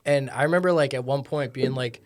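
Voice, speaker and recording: A man's voice: mid-range voice, fairly quick. A man appearing as a guest on a podcast in casual chat, explaining at length with lots of "like" fillers. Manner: rambling and explanatory, full of "like" fillers.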